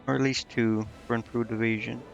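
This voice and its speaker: A man's voice: medium pitch, flat delivery, a little fast, vocal fry, lo-fi sound. A man streaming a game, muttering indecisively to himself in casual commentary as he thinks aloud. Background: game music.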